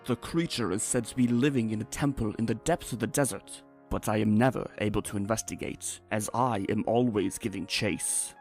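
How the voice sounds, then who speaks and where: A man's voice: lowish voice, normal speed, few pauses, a little mellow, lightly acted. Man voicing a formal zebra prince over music. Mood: grave, courteous. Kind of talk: fan comic dub acting. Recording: music under the voice.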